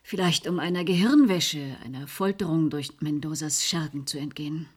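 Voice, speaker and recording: An earnest sidekick in a radio drama, husky voice, jumps in to add and correct. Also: female voice, low-pitched, normal speed. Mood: earnest, insistent. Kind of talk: German radio play dialogue.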